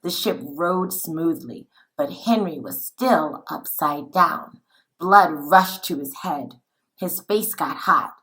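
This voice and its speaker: Bright-voiced woman reading a storybook aloud. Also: sits low, lively intonation. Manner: dramatic, deliberate, with acted-out dialogue. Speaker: teacher reading a picture book aloud. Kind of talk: expressive children's storybook read-aloud.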